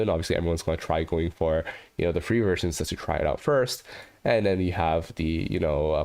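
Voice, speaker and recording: A man's voice: deep voice, gravelly, darkish tone. A man in his thirties, congested from a cold, chatting casually as host of a livestream, full of "you know" and "right?", from a living room with a webcam. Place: living room with a red sofa, indoors.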